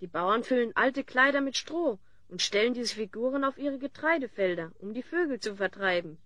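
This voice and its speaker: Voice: medium pitch, lively intonation, moderate pace, sharp tone, dry recording. An actor playing a fifteen-year-old boy hero in a fantasy audio drama, earnest, sensible and theatrical. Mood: amused.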